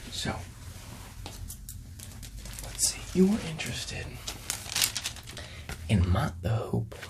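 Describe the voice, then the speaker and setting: Casting: male performer as easygoing hippie record store clerk, ASMR role-play, whispery and crackly, friendly, casual, slightly halting. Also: medium pitch. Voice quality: soft, breathy whispering, creaky. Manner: hesitant, casual, polite small talk.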